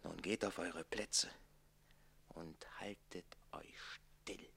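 Bright, forceful, defiant servant. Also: male voice, deep voice, fairly even intonation, takes it slowly, gravelly, breathy edge, in a whisper, heavily acted. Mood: defiant, conspiratorial. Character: defiant, crafty servant son plotting against his master. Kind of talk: theatrical German radio play dialogue.

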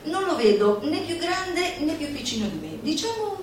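A woman giving a theatrical recitation, declamatory and playful, with dramatic pauses and asides to her listeners. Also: high voice, big pitch swings, noisy background. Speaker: solo stage performer. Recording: very echoey live hall, distant mic, music behind.

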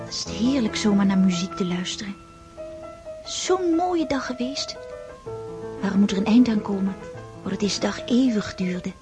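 A woman's voice: medium pitch, lively intonation, normal speed, long pauses, close to an everyday voice. An actress in a radio drama playing a young countess, girlish, innocent and romantic, who repeats words in her eagerness. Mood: wistful, tender. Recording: music behind the voice. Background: music.

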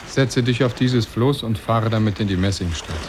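Man playing a low-voiced solemn otherworldly guide. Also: moderate pace, darkish tone, a little hiss or hum, lightly acted. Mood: solemn, reassuring. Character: strange otherworldly man with eyes on his chest, helpful guide. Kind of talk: 1950s German radio drama acting. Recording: crowd of voices around, 1950s radio.

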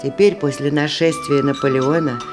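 Actress as a genteel widow hostess, lower female voice, chatty ornate storytelling, radio comedy, music behind. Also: fairly even intonation, normal speed, lightly acted. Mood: hospitable, chatty. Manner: chatty, leisurely, ornate old-fashioned storytelling. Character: genteel provincial widow hostess of nineteenth-century Russia. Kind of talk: theatrical radio play narration.

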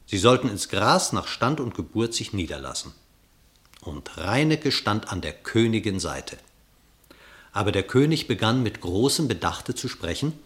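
A man narrating an epic verse fable for a radio play in an expressive, literary storytelling manner. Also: on the low side, measured pace.

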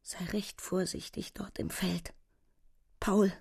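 An actress playing a soldier's tender, middle-aged mother, frail and worried, with slow, gentle, cautioning speech. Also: mid-range voice, level tone, creaky.

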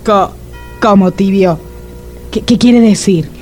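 A woman's voice: medium pitch, slow, darkish tone, soft background music, natural voice. An actress with an Argentine accent playing a whiny, dramatic, easily exasperated woman in a comedic radio drama. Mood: plaintive. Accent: Argentine, Rioplatense.

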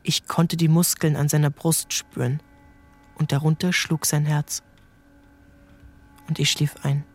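A man's voice: fairly high pitch, flat delivery, a little slow. A man narrating a literary story in the first person, as a scripted reading for a radio drama.

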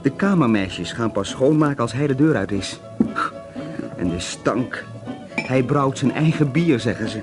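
An actor playing a deferential hotel employee who gossips politely about the guests in a Dutch radio drama. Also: male voice, mid-range voice, lively intonation, measured pace, music in the background, natural voice. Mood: amused gossip.

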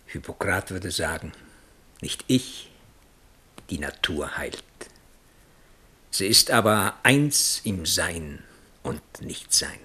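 Husky, low, solemn royal physician. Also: male voice, animated, heavily acted. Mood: sombre, hushed. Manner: hushed, halting, formal. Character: solemn, deferential royal physician defending himself. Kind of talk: German radio drama acting.

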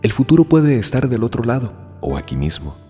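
An actor playing a brooding, enigmatic narrator of a radio drama, measured and solemn. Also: male voice, medium pitch, very animated, natural voice. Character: brooding, enigmatic male narrator pondering fate. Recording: long reverb, soft guitar behind.

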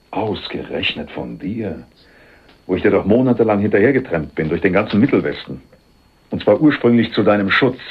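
An actor playing a wry, cool undercover police detective in a radio crime drama, ironic, teasing and matter-of-fact. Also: male voice, lowish voice, husky. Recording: dull, muffled radio play recording.

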